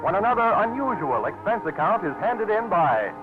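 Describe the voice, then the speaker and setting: Lively 1940s radio announcer man. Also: sits high, normal speed. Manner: bright, lively promotional delivery. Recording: tinny old radio broadcast with music.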